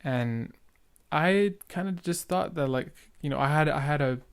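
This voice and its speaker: A man's voice: fairly high pitch, gravelly, clear, resonant tone. A young man giving casual, earnest reaction commentary as a podcast host, stumbling and repeating words now and then. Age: young adult. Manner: halting, stuttering, repeats words.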